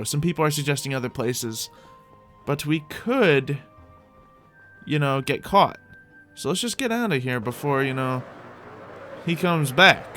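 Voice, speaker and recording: A man's voice: fairly high pitch, sharp tone. Rambling male gamer over music. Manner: rambling, repeats his own phrases. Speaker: host of a Minecraft roleplay video. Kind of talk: casual gameplay commentary, talking to viewers in light roleplay.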